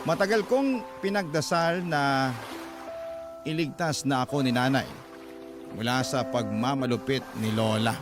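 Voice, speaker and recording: A man's voice: mid-range voice, unhurried. A man with a Filipino accent narrating a dramatic first-person letter in a plaintive, sorrowful storytelling manner. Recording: music bed behind the voice. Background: background music.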